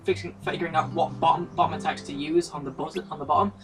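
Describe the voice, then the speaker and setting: A man's voice: fairly high pitch, steady tone, rapid-fire, recorded from a distance. A man co-hosting a let's play in casual gaming chat. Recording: music behind, off-mic group commentary. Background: video game music.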